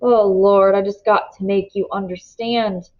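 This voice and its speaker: A woman's voice: mid-range voice, steady tone, a little slow, close to an everyday voice. An earnest older country father from a storybook read by a woman, weary and pleading. The speech is folksy and plain, with an urgent, philosophical bent. The recording is a little dull. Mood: fierce, pleading.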